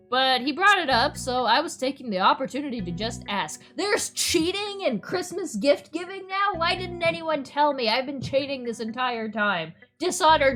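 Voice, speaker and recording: A woman's voice: sits high, sharp tone. A playful, theatrical woman streaming a visual novel reading with chatty, exclamatory commentary. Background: music.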